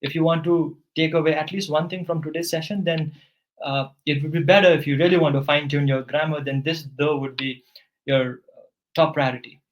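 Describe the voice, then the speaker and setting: A man's voice: fairly high pitch, fairly even intonation, a little fast, lo-fi sound. A man working as an English tutor, patiently giving corrective grammar feedback in a one-on-one session.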